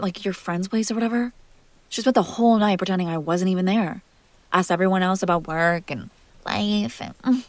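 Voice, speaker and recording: A woman's voice: mid-range voice, no room sound, close to an everyday voice. Woman acting jealous, wounded young woman, dry close sound. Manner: sighing, halting, sarcastic, emotionally raw. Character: jealous, hurt young woman confronting her longtime crush. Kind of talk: intimate audio roleplay acting.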